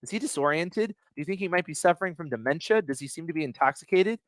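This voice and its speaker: A man voicing a calm, measured emergency dispatcher in a dramatic reading of a call. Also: a little high, no echo, lightly acted. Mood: businesslike.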